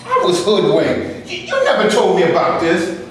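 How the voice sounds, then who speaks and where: A man's voice: sits high, wildly swooping pitch, moderate pace, fairly bright tone, exaggerated voice. A man playing an indignant, sarcastic, defiant movie actor in a staged reading, with a mocking, exclamatory delivery. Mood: shocked, aggrieved. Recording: echoey live room, distant camera, noisy.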